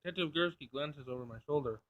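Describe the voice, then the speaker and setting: A man's voice: fairly high pitch, fast, slight background noise. A man reading a visual novel aloud as dramatic narration on a gaming channel, in a screen recording. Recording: dull lo-fi screen recording, game music behind. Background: faint game music.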